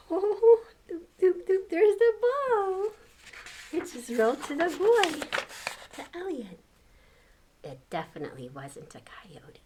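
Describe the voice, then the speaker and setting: Older woman reading aloud, slow and expressive. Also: mid-range voice, slightly rough. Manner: exclaims and chats about the pictures, asks questions. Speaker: grandmother reading a picture book to children. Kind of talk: expressive read-aloud storytelling with asides to young listeners.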